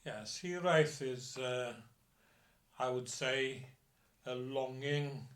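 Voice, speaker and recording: Slow, measured man in an echoey room. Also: mid-range voice. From Wales. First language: Welsh. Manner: measured, thoughtful, with pauses and hesitations. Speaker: interviewee. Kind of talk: reflective interview answers. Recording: roomy echo.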